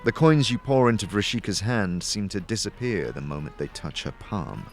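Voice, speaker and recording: Low, animated male fantasy narrator. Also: normal speed. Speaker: game master, narrator. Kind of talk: dramatic second-person fantasy narration. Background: background music.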